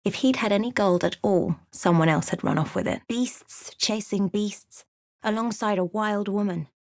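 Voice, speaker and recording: Woman voicing fantasy game heroine, wry, watchful, measured dramatic storytelling, medium pitch, dry close sound. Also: a little mellow, dry recording, close to an everyday voice. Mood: measured, grave. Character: wry, watchful female fantasy adventurer recounting her journey. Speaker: video game voice actor. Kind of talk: scripted fantasy game narration and dialogue.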